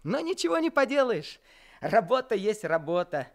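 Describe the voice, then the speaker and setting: A man's voice: high voice, big pitch swings, normal speed. A man narrating in the first person as a young man looking back on his life in an old Soviet radio play. He tells the story in a reflective, wistful way.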